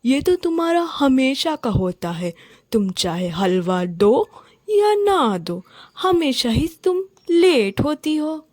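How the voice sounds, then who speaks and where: A scheming cartoon mistress of the house, voiced by a woman, bossy and scornful. Her voice is high and close, and she lays out her words slowly with a sharp, haughty edge. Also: very animated, no echo, heavily acted. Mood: stern, scornful. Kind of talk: Hindi children's cartoon story acting.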